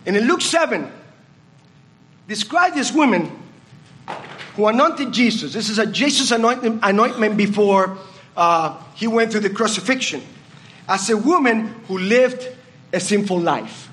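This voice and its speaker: A man's voice: high voice, expressive, a little slow, pauses often, fairly bright tone, slight background noise. A man with a Puerto Rican accent giving a reflective communion meditation at a church service and asking the congregation questions. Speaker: communion speaker.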